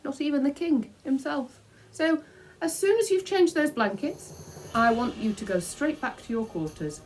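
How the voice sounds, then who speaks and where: A woman's voice: high-pitched, animated, a little slow, darkish tone, soft background music, voice pushed up, rough recording. Lady doing a pompous lord villain guy from a kids book, bossy n suspicious, big swooping emphasis. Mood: commanding. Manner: pompous, imperious. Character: stern, suspicious, commanding male Lord Protector, a palace villain.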